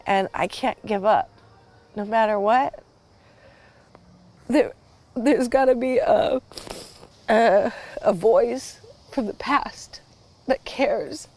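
A woman speaking earnestly and haltingly in a documentary interview, reflecting on loss and the land. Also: fairly high pitch, a little mellow. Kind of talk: reflective personal testimony.

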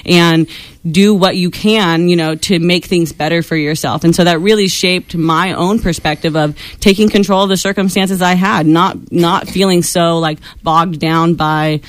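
A young woman who is a radio host, talking as a guest in a studio interview in a chatty, quick way with frequent laughs. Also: fairly low pitch, steady tone, dry recording.

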